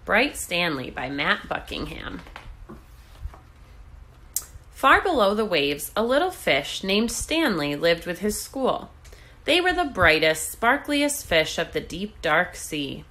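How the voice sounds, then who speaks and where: A woman reading a children's storybook aloud in an expressive, deliberate way. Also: lowish voice. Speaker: read-aloud host.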